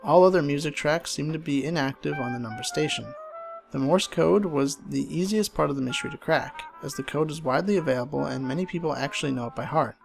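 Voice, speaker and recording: A man reading a scripted horror story in a measured, dramatic storyteller's delivery. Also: a little high, creaky. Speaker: narrator reading online horror stories. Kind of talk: scripted creepypasta narration. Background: game music under the voice.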